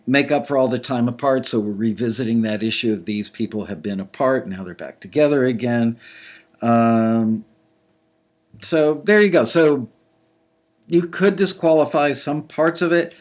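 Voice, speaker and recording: A man with a slightly gravelly voice giving casual live commentary on listeners' songs, thinking aloud with lots of fillers. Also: mid-range voice, darkish tone. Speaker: music industry reviewer critiquing listener songs.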